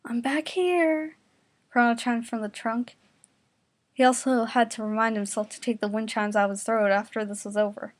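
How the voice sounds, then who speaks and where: A woman's voice: a little high, little pitch movement, brisk, dry recording. A woman narrating a comic fan fiction parody, reading it aloud as storyteller.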